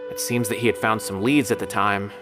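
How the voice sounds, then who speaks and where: A man's voice: fairly low pitch, little pitch movement, fairly quick, music in the background, natural voice. A man voicing a polite, earnest officer in an anime-style game, courteous and slightly hesitant, with a worried seriousness. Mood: earnest concern.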